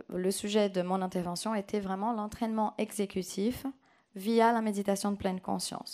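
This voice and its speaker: A woman with a mellow, warm voice, answering an audience question after her talk. She explains her research in a measured, orderly academic way. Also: mid-range voice. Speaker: conference speaker answering questions.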